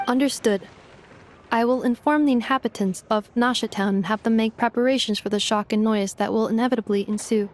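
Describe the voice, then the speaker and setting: A woman voicing a polite, precise robot engineer girl in a video game, formal, measured and deadpan. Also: on the high side, natural voice. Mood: calm, matter-of-fact.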